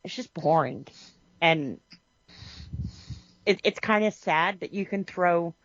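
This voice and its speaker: Podcast co-host: woman, low-pitched voice, animated casual talk, dull lo-fi audio. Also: slow pace, a little hiss or hum. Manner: animated, lots of restarts and "you know". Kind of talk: casual chat reviewing a TV series.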